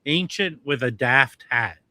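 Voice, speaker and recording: A man co-hosting a casual livestream chat, halting and insistent, often restarting. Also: medium pitch, lively intonation, bright, forceful tone, no echo. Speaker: livestream co-host.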